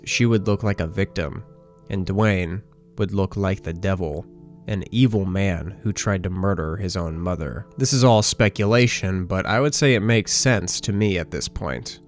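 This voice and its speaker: A man with a low voice narrates a scripted video essay over faint music. He explains things in a measured but conversational way, like an analyst walking through material step by step. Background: background music.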